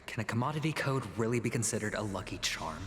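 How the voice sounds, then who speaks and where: A man playing a guarded, defiant young slave in a video game, speaking in a hushed, breathy way. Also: fairly low pitch, lively intonation, fast talker. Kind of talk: video game character acting. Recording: long reverb, music behind.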